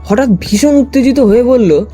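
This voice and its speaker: A man's voice: extremely high pitch, moderate pace, dark tone. A man reading scripted first-person narration for a detective audio story. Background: background music.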